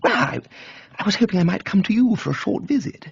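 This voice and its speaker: Excitable posh English young gentleman, a man acting, slangy, chatty, swooping pitch and husky edge. Comic radio. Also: a little fast, no echo, highs cut off, exaggerated voice. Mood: blithe. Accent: upper-class English. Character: cheerful, dim, excitable young upper-class English gentleman.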